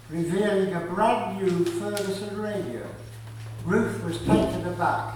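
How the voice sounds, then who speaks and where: A man narrating a scripted radio play in a measured, deliberate storytelling manner. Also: high voice, slight background noise. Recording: very echoey, distant from the mic.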